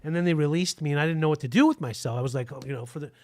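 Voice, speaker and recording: A man who was born in Germany and raised in Utah, telling his recovery story on a video call with an earnest, halting delivery. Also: a little high. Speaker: guest sharing recovery story. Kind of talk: personal testimony.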